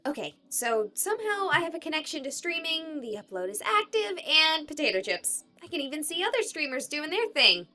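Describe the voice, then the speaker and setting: A woman playing an excitable young streamer in a game, with theatrical, emphatic delivery as she investigates a haunted hospital. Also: high-pitched, a little fast, hardly any pauses, heavily acted. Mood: dramatic, determined. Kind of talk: voiced game character dialogue.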